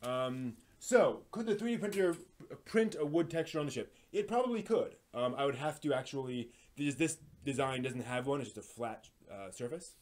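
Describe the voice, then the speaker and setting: A man hosting a casual live stream, explaining hobby projects in a halting, thinking-aloud way. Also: medium pitch, animated.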